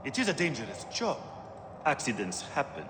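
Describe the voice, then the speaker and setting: An actor playing a condescending, scheming fight boss in a video game cutscene, speaking in a commanding, theatrical way. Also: male voice, medium pitch, huge pitch swings, normal speed, in a large hall, noisy background. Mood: menacing, dismissive. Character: imperious, scheming male arena fight boss. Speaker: arena boss and fight announcer.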